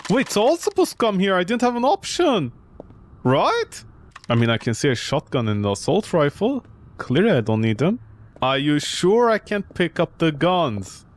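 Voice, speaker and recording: A man with a fairly high, very animated voice commentates on a video game. He is casual and sarcastic, joking as he goes. Some noise and game ambience sit behind him. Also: moderate pace. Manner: sarcastic, jokey. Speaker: gamer commentating on a horror game playthrough.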